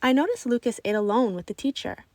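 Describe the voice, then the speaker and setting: A woman reading a children's story aloud in expressive, scripted narration. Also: on the high side, quick pace, no room sound. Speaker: storybook reader.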